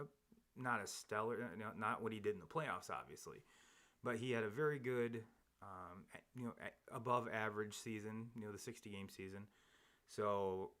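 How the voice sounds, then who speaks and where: A man's voice: fairly low pitch, a bit bright. A man hosting a live sports podcast, chatting casually and rambling with many restarts and fillers. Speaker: podcast host. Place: home studio, seated across from co-host.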